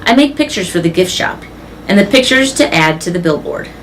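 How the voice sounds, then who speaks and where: A man reading as a gentle gorilla narrator. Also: high voice, lively intonation, brisk, a little hiss or hum, rough recording. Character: gentle, weary male gorilla telling his own story. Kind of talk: children's storybook read-aloud.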